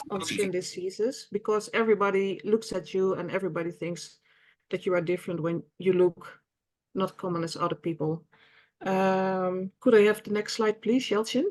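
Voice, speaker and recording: A woman in her fifties with a Dutch accent presents to an online webinar in English, which is not her first language. She talks at a middle pitch, pausing often with fillers and restarting her words as she goes. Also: low-quality recording. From Netherlands. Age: 50s. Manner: hesitant, many fillers and restarts. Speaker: patient representative.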